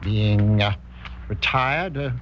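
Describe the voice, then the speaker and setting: An actor playing an eager, genial retired colonel, older, hesitant and politely old-fashioned, in a 1940s radio drama. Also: male voice, on the low side, slow pace. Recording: dull, noisy old radio recording.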